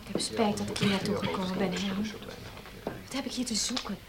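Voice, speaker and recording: Actress as an uneasy woman amid loud murmuring. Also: medium pitch, brisk, a loud crowd, close to an everyday voice. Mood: uneasy, reluctant. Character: reluctant, uneasy woman among waiting heirs. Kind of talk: Dutch radio play acting.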